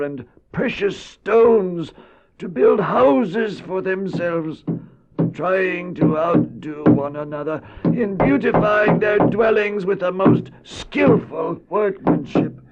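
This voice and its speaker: A man playing a solemn, ancient patriarch who recounts his life in a dramatized audio narration. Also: high-pitched. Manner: solemn, measured. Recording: dull old cassette, music underneath.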